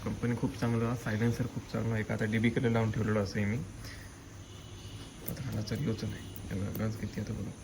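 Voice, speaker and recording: A man's voice: on the low side, monotone, fast talker. A man from the Konkan with a Malvani accent, talking casually as he explains a hands-on restoration step by step on a handheld phone. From Konkan, western India. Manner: informal, explaining step by step while working. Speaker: motorcycle vlogger. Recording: noisy outdoor handheld phone recording.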